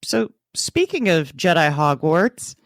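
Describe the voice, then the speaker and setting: Low-pitched woman in dry podcast chat. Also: big pitch swings, slow, dry recording. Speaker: podcast co-host. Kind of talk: casual book club chat.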